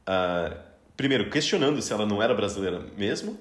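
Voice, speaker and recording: Man, 30s, from Brazil, podcast host, relaxed chatty talk, slightly low voice, echoey room. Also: quick pace. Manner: chatty, with frequent restarts and easy laughter. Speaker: podcast host interviewing a guest. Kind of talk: casual interview conversation.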